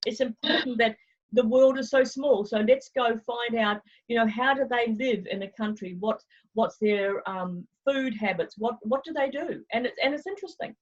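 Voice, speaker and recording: A woman's voice: fairly high pitch, moderate pace. Chatty New woman on a lo-fi video call. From New Zealand. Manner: lively, laughs often, digressive. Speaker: travel agency owner. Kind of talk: remote interview, chatty. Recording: dull lo-fi video call audio.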